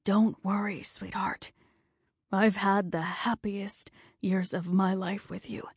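A woman voicing a gentle, dying older husband in a soft, breathy whisper. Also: medium pitch, steady tone, slow pace, no room sound, studio quality, exaggerated voice. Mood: tender.

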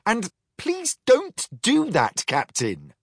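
A man's voice: very high voice, wildly swooping pitch, slow, no echo, clean studio sound, pitched above the performer's own voice, full character voice. A man voicing a prim, fussy, clever robot pirate quartermaster in a storybook reading.

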